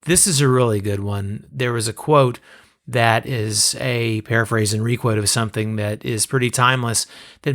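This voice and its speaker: A man hosting a podcast, speaking in a hoarse, raspy voice in a measured, reflective introduction. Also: medium pitch, fairly bright tone. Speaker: podcast host.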